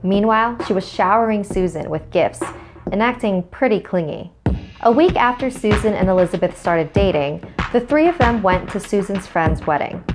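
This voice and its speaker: A woman's voice: mid-range voice, lively intonation, normal speed, music in the background. A woman hosting a scary story series, reading scripted horror narration.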